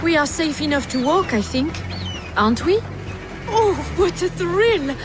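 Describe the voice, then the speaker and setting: A theatrical noble lady with a taste for danger for a video game. Her voice is high, refined and playful, with music clearly playing behind it. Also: expressive, measured pace. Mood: composed.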